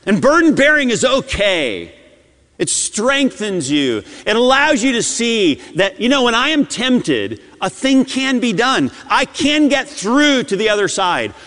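A man's voice: very high voice, big pitch swings, unhurried. Impassioned preacher man in a reverberant hall. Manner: impassioned preaching, swings between loud and hushed. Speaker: pastor. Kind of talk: sermon.

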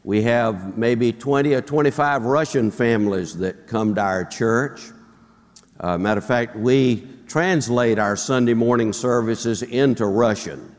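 Male Southern US preacher, informal and joking storyteller, medium pitch, big reverberant auditorium. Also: measured pace. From southern United States. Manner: folksy, joking, repeats phrases. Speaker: pastor, guest conference preacher. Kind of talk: informal, anecdotal sermon to an audience. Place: large auditorium before a congregation. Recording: reverberant large hall.

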